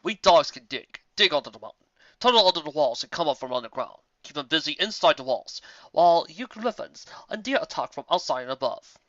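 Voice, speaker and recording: A gruff canine digger from a fantasy story, put on by a man. The manner is blunt, boastful and a bit scornful, in a dry, dull-sounding recording. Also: a little high, sing-song, brisk, a bit bright, no echo. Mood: eager. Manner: blunt, scornful, rough phrasing. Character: gruff, boastful male diamond dog digger.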